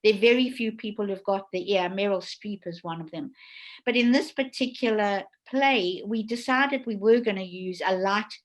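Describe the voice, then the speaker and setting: A woman hosting an online panel talk, explaining things deliberately and relaying questions from attendees. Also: mid-range voice, rough recording. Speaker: host and theatre director.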